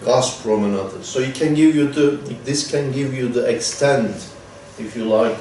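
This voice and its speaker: A man's voice: medium pitch, normal speed, darkish tone. A man in his fifties, a native Turkish speaker, giving a seminar lecture with many restarts and repeated words and a dry touch of humor. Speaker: guest lecturer. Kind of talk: academic seminar talk. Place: seminar room. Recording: echoey seminar room, distant mic, faint noise.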